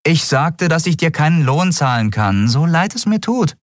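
A man voicing a young woman captain who speaks in a matter-of-fact, brisk way. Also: on the high side, sing-song, no room sound, studio quality, voice pushed up. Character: young woman airship captain, dry and firm.

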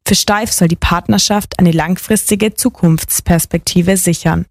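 A woman reading a news report as a radio reporter, brisk and factual. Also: lowish voice, steady tone, no echo. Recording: dry studio sound.

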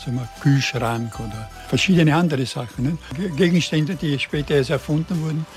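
A man in his eighties from Burgenland with a slight Burgenland Croatian accent, answering interview questions in a halting way, often repeating words. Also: on the high side, faint music behind. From Burgenland, Austria. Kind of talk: conversational interview.

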